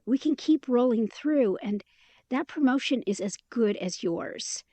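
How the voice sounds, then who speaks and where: An actress playing a caring, candid young woman in an intimate audio drama, speaking in a casual, gently encouraging way. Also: fairly high pitch, animated, measured pace, dry recording. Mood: gentle, caring.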